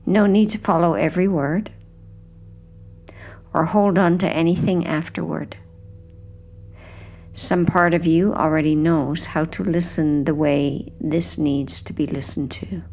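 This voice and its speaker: A woman with a low voice narrates a guided sleep hypnosis. Her delivery is very slow and gentle, with a pronounced creak in the tone. Phrases are hushed and soothing, fading softly at their ends. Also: steady tone. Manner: gentle, hushed, unhurried, with soft trailing phrase endings.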